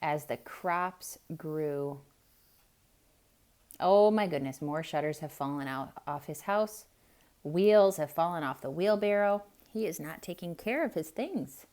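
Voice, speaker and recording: A woman reading a picture book aloud to children, pausing to point things out and ask them questions. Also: low-pitched. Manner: slow, expressive, asks children questions. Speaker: children's storyteller.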